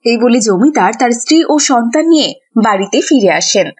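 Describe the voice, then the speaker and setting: Husky, fairly high-voiced woman storyteller. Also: animated, normal speed. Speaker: narrator. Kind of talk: scripted story narration for a children's cartoon.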